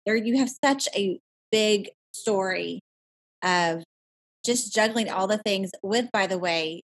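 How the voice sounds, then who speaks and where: A woman hosts a podcast interview in an upbeat, encouraging tone. Her voice has a slightly husky, breathy edge. She is speaking over a video call. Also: mid-range voice, takes it slowly. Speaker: podcast host. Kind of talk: casual interview chat.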